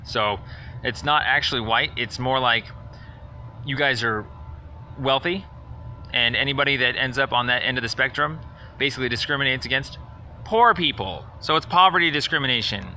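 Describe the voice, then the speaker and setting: Male caller, mid-pitched and forceful, confrontational and sarcastic, rambling accusatory voicemail, slight noise behind. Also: normal speed. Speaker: caller leaving a voicemail complaint. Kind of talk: rambling phone message, accusatory monologue.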